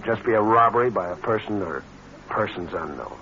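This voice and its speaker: An actor with an American accent playing a smooth, cold-blooded schemer in a vintage radio crime drama, in terse hard-boiled slang. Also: male voice, lowish voice, animated, brisk, bright, forceful tone. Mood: slick, persuasive. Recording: muffled, noisy old radio recording.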